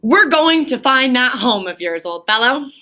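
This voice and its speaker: A woman's voice: high-pitched, big pitch swings, unhurried, sharp tone, pitched above the performer's own voice, full character voice. A woman voicing a kindly male rat in a children's storybook, a loyal companion with an old-fashioned chummy manner. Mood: brisk, pleasant. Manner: hearty, old-fashioned, encouraging. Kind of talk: children's storybook read aloud.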